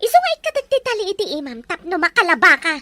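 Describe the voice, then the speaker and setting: A woman acts out a scheming, cruel mistress in a melodramatic audio drama. She speaks very high with big swings, bossing and mocking. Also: huge pitch swings, racing, sharp tone, no room sound, heavily acted. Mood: cruel mockery. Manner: domineering, mocking.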